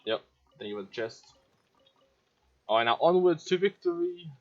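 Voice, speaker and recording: A man's voice: fairly high pitch, animated, moderate pace, highs cut off. A man giving casual let's play commentary as a gaming YouTuber.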